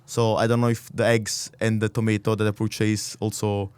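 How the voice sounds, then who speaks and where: A man in his thirties with an Italian accent, a native Italian speaker, talking as a podcast guest in earnest, halting, restarted phrases. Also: lowish voice, level tone, darkish tone, clean tone, no echo. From Italy. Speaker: podcast guest, startup accelerator director. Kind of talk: casual interview conversation.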